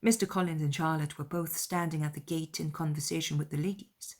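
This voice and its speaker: A woman reading a classic novel as an expressive audiobook narrator, in scripted literary prose. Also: low voice, fairly quick.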